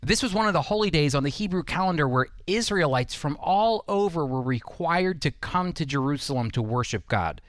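A man's voice: on the high side, lively intonation, brisk, few pauses, a bit bright, no room sound, clean studio sound. A man preaching a scripted Bible teaching, speaking earnestly and instructively straight to the listener.

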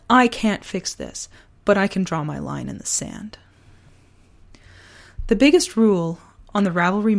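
A woman hosting a personal podcast, talking earnestly and deliberately, her voice partly gone from a sore throat. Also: mid-range voice, darkish tone. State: losing voice from a sore throat virus. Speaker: podcast host. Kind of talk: personal podcast, reflective essay and casual chat.

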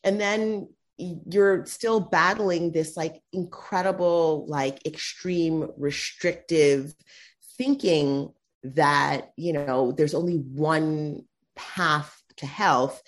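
A woman speaking as a guest in a conversational interview, often tagging her points with "right?" and "you know". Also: drawn-out words. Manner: animated, pitch jumps when quoting others.

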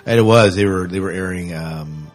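A man's voice: sits low, normal speed, soft background music. A man hosting a podcast in casual chat, agreeable and prompting his co-host with questions.

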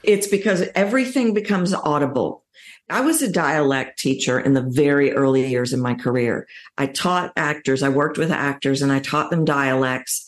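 Texan woman, middle-aged, low voice, voice coach chatting in an interview, makes points emphatically. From Texas, United States. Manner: emphatic, illustrates points with acted-out quotes. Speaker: voice coach, podcast guest. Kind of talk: conversational interview.

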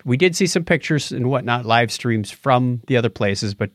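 A man's voice: medium pitch, animated, normal speed, no room sound, clean studio sound. An American man co-hosting a podcast, chatting casually and explaining things in an anecdotal, conversational way. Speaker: podcast host.

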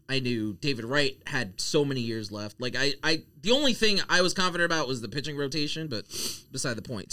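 Animated, high-pitched New man. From Queens, New York. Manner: animated, emphatic, repetitive, profane. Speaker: sports podcast co-host. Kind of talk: casual sports debate among friends.

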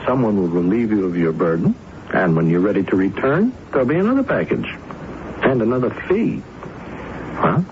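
An actor playing a smooth-talking, breezy scoundrel in a 1970s radio drama, glib, coaxing and casual. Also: male voice, lowish voice, sing-song, moderate pace, long pauses. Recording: muffled vintage radio, clear hiss.

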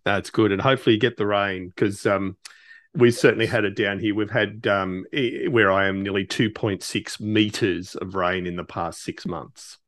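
A man's voice: fairly low pitch, steady tone, normal speed, a bit bright, no echo, clean studio sound. A man hosting a podcast in a casual, appreciative, conversational way. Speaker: podcast host.

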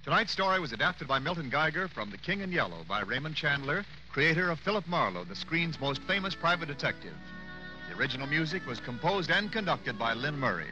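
High-voiced American radio announcer over music. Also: male voice, sing-song, a little fast, muffled recording. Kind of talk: 1940s radio announcing, scripted. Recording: vintage radio broadcast with music.

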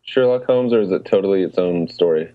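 A man's voice: low-pitched, brisk. A man co-hosting a casual gaming podcast, chatting informally about games. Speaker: podcast co-host. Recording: slightly dull, lo-fi audio.

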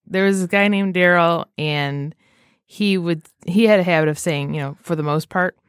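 Female podcast host, lower-leaning voice, conversational anecdotes with acted-out quotes, very dry sound. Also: normal speed, smooth, no echo. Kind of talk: casual chat and anecdotes.

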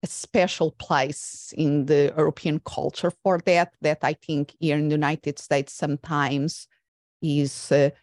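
A woman with a Portuguese accent speaks in a very low voice, reflective and pausing with fillers as she hosts. The sound is dry and close, with no room around it. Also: fairly even intonation, a little mellow, no room sound. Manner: reflective, halting with filler words. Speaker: podcast host. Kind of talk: conversational interview.

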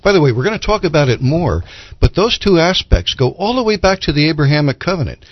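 A man's voice: on the high side, sing-song, fast talker, no room sound. Dry, muffled male church elder. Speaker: church elder, radio show guest. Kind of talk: religious talk radio discussion. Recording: dull lo-fi radio audio.

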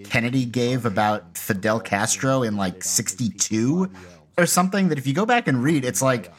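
A man giving casual commentary as a show creator, reflective and somewhat halting. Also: mid-range voice, lively intonation.

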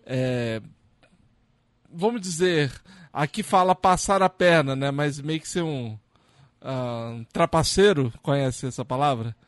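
A Brazilian man hosting a casual podcast chat, friendly and quick to laugh, often stumbling and restarting. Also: medium pitch, no echo, clean studio sound. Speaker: podcast host.

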